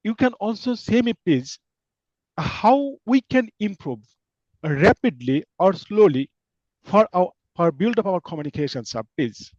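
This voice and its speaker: A man from Bengal with a Bangladeshi accent, an English learner asking questions in halting English with many fillers. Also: high voice, very animated, darkish tone, no room sound, highs cut off. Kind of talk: casual conversation.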